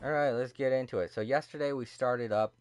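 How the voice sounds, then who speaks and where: A sleepy man chatting casually on a livestream, rambling and halting with many fillers, self-deprecating. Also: medium pitch, over music. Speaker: streamer. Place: home room with a TV. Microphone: cardioid desk microphone.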